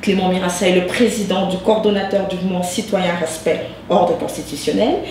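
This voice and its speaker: A woman's voice: lowish voice, steady tone, fairly quick, a little mellow. A woman hosting a web TV interview, asking questions with many hesitations and restarts. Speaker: host. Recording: echoey, distant mic with hum.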